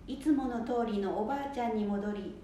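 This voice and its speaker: A woman giving calm scripted narration for a radio play in a very echoey room. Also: on the high side, brisk, slight background noise.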